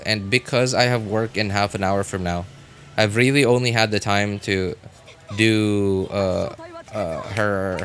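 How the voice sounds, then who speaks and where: Low-voiced male game streamer, music behind. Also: fairly bright tone. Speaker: game livestreamer. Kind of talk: casual live gameplay commentary, chatting with viewers.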